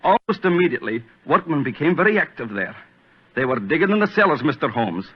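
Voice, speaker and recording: An actor playing an agitated, self-important gentleman, fearful and obsessed, with a dramatic, overwrought delivery in 1940s radio drama style. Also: on the high side, big pitch swings, fast, slightly rough. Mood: uneasy, earnest. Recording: muffled old radio recording.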